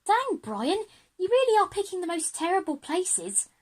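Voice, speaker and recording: A woman voicing an excitable, sceptical schoolchild in a children's audio drama. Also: very high voice, normal speed, voice pushed up. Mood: dismayed. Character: excitable, sceptical schoolchild on a time-travel lesson.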